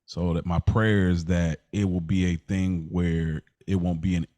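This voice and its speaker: A young adult man speaks in a low register as a podcast co-host. His talk is casual and slangy, peppered with "like". The recording is dry and free of room sound. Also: little pitch movement, a little slow, warm tone, no room sound. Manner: colloquial slang, often saying "like". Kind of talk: casual chat.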